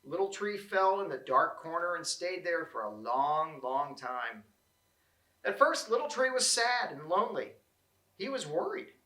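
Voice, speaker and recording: A man reading a children's storybook aloud, with expressive and deliberate phrasing. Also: on the high side, reverberant, poor audio. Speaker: storybook reader. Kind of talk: children's read-aloud narration.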